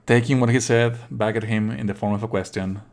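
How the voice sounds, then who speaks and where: A man's voice: fairly low pitch, fairly even intonation, brisk, vocal fry. A man giving casual, mocking let's-play commentary as a livestreamer. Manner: sarcastic, mocking. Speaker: livestreamer playing a game. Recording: faint game music behind. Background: game music.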